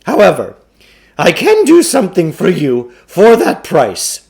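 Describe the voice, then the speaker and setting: A blustering storybook huckster, played by a male reader, pitched high and pushed loud. The delivery is theatrical and wheedling, swooping up and down as he pompously works his sales pitch. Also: huge pitch swings, measured pace, gravelly, full character voice. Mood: pushy pitch. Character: blustering, wheedling huckster salesman peddling mind-control gadgets.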